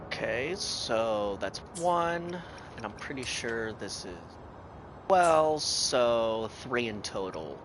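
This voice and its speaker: Lively, unhurried male gaming streamer. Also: medium pitch, noisy background. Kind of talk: casual gameplay commentary. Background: game music.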